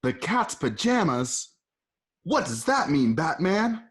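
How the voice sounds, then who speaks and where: A man's voice: fairly high pitch, sing-song, slow pace, pitched above the performer's own voice. A man voicing an eager young male sidekick with an indignant streak. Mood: puzzled.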